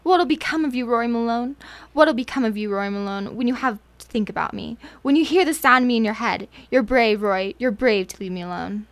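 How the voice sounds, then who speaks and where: A woman's voice: on the high side, a little fast, close to an everyday voice. An actress playing a jilted, lovesick woman, clinging and pleading in a melodramatic noir radio drama. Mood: bitter anguish. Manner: pleading, impassioned.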